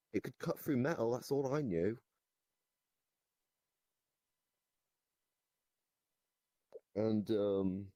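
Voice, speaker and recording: A man playing a deranged goblin storyteller in an improvised comic interview, rambling haltingly and nonchalant about absurd claims. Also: lowish voice, mellow, no room sound, lightly acted. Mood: casual, boastful. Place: virtual reality voice chat.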